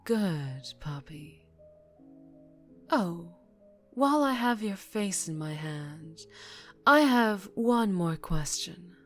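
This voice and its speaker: Woman acting as a slow, menacing, charming lady mafia boss. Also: on the low side, animated, soft background music, heavily acted. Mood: teasing, probing. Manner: slow, teasing, condescending purr with quiet menace. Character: dangerously charming, menacing female mafia boss, teasing and possessive. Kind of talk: ASMR roleplay acting.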